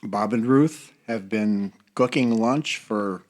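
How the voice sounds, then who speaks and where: An American man over fifty chatting casually in a group, with a hesitant, halting way of talking. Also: lowish voice. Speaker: church seniors' group member.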